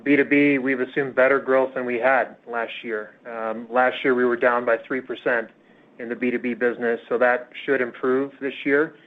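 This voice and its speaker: A man speaking as a finance executive, answering questions on an earnings call in a measured, hesitant way with pauses. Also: mid-range voice, little pitch movement. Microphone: phone conference call. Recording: tinny, narrowband conference phone line.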